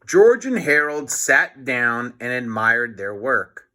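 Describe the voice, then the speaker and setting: Bright, unhurried man reading a storybook. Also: mid-range voice, big pitch swings. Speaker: storybook reader. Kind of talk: expressive read-aloud narration for children.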